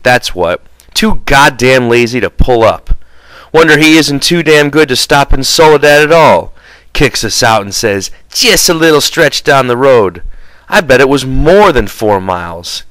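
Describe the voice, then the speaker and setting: A man's voice: medium pitch, fairly bright tone, clear, resonant tone, no room sound, voice pushed up, poor audio. Audiobook role: man reading as irritable little drifter, scolding, rural slang, voice pushed up. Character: small, sharp, irritable itinerant ranch hand man.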